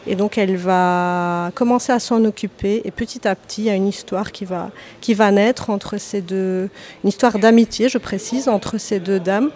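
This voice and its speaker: A woman in her thirties from Lebanon, an author answering podcast interview questions with many pauses, fillers and restarts. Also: mid-range voice, a little mellow. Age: 30s. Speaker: author guest.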